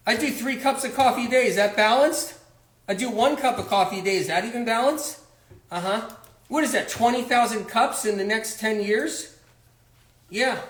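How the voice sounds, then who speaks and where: A man's voice: very high voice, a bit bright. A man voicing a smug young man who boasts about his balanced diet in a mocking, sing-song way, recorded in an echoey room.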